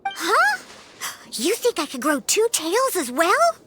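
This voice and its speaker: A little cat girl with a very high, childlike voice and lively intonation. She is earnest and a touch huffy, in game dialogue with music behind. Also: slow, full character voice. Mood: curious. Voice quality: childlike, girlish. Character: young cat-eared girl bartender, earnest and a little prickly. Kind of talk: video game character dialogue.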